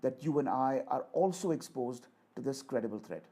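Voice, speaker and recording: A man giving a rhetorical political speech in a declamatory, deliberate manner, repeating phrases for emphasis. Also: medium pitch, expressive, dark tone. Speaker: orator reading a voice sample.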